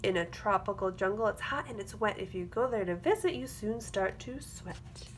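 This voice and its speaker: A woman reading a children's picture book aloud as a teacher, with expressive, rhythmic delivery. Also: medium pitch, normal speed. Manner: rhythmic, expressive delivery of rhyming verse with explanatory asides. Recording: clear background noise.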